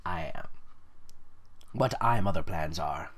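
A man's voice: deep voice, expressive, takes it slowly, husky. A man reading fan fiction aloud in a mocking, amused way, with sarcastic asides.

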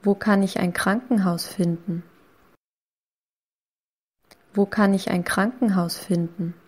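A woman reading short phrases slowly and clearly, saying each one twice for learners. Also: mid-range voice, fairly even intonation, a little mellow. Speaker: language lesson voice. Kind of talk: slow repeated phrase reading.

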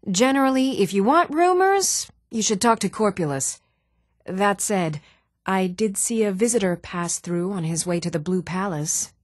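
An actress playing an adult townswoman in a fantasy role-playing game, speaking in an even, measured, clearly enunciated way. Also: mid-range voice, no echo, studio quality, close to an everyday voice. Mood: gossipy. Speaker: video game voice actor. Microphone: close, dry studio microphone.

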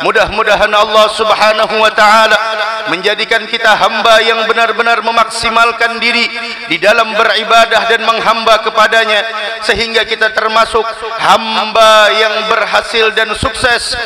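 Middle-aged guy preaching at a mosque pulpit, high voice, pretty monotone, congregation noise behind. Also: normal speed, a crowd in the background. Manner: fervent, declamatory, with chanted Arabic. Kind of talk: Friday sermon. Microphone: stand mic at the pulpit.

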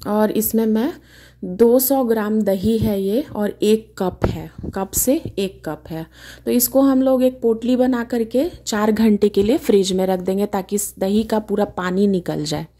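A woman's voice: mid-range voice, dark tone. A woman hosting her own cooking channel, chatting through a recipe step by step in her home kitchen. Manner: chatty, homely step-by-step explaining.

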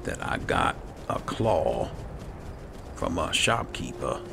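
A man talking in character in an unhurried, muttering way as he plays through a fantasy video game. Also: rumbling low, very animated. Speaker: gamer playing in character. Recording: clearly noisy, game ambience behind.

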